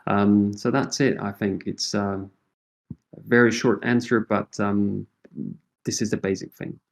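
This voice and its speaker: A middle-aged man with a Portuguese accent speaks in a fairly low voice. He answers thoughtfully, pausing on fillers and restarting his sentences as he works out his ideas. Portuguese is his first language. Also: flat delivery, gravelly. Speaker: associate professor, guest. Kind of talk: interview answers.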